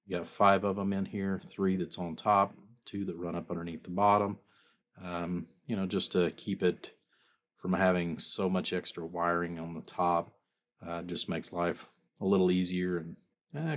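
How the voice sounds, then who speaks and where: A man's voice: sits low, fairly bright tone. A man giving a casual, rambling workbench demonstration in a folksy, informal way, like a builder showing his work. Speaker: custom amplifier builder presenting his work.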